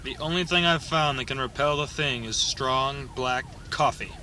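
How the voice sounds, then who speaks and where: A man's voice: medium pitch, moderate pace, bright, forceful tone, a little hiss or hum. A man playing an earnest, exasperated hero in a melodramatic comic radio play. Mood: determined. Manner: intense, insistent, melodramatic. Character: earnest, exasperated everyman hero warning of a monster. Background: faint music.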